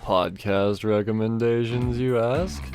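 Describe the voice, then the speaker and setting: A man's voice: fairly low pitch, steady tone, unhurried. A man promoting podcasts in a laid-back conversational ad read, full of surfer-slang hype. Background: guitar music.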